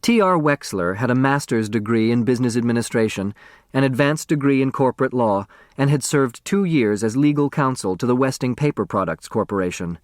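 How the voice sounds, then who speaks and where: A man narrates literary fiction from a script. His voice sits at a middle pitch. The sound is dry, with no room to it. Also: expressive, brisk, no echo. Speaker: audiobook narrator.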